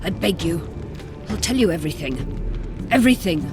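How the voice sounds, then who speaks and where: A woman's voice: on the low side, expressive, moderate pace, lightly acted. A woman playing a proud captive sorceress. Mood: urgent, pleading. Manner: urgent, pleading yet haughty. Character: proud imprisoned sorceress, middle-aged woman. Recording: game audio with orchestral music.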